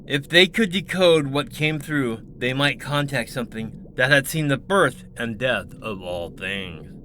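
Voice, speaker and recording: A man narrates science fiction as dramatic scripted storytelling. His voice is bright and forceful at a medium pitch, with faint noise and ambience behind it. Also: lively intonation, a little slow.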